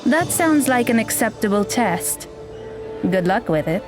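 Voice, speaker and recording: An actress playing a blunt, aloof young magical mentor who talks in a dry, deadpan way in an audio drama. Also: female voice, fairly high pitch, measured pace. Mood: instructive. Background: background music.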